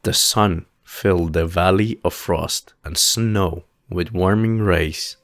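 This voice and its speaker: A man narrating a fantasy story as a scripted audio play, with a solemn, measured, literary storytelling delivery. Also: low-pitched, a bit bright, dry recording, clean studio sound. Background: music.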